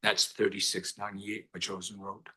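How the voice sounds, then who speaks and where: Bright-voiced man chairing a council. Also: fairly low pitch, completely flat delivery, breathy edge. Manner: brisk procedural chairing with light humor. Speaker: mayor chairing council meeting. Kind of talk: formal meeting procedure. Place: council chamber.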